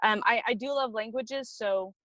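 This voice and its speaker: A woman's voice: fairly high pitch, normal speed, a bit bright, no echo, lo-fi sound. A woman guest on a podcast, reminiscing hesitantly and searching for words. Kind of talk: casual interview reminiscing.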